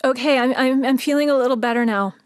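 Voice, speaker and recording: A woman playing a frightened field reporter in a radio drama, phoning in a halting, breathless eyewitness account. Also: high-pitched, no room sound, clean studio sound, natural voice. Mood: awed, uneasy. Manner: halting, breathless, repeating herself. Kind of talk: modern radio drama acting.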